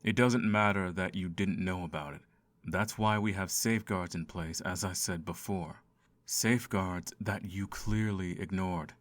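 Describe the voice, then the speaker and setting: A man's voice: sits low, steady tone, unhurried, a bit bright, dry recording. A man voicing a sardonic, seductive male incubus in an ASMR roleplay, theatrical and dryly sarcastic. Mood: annoyed, insistent. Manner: theatrical, sighing, dry sarcasm.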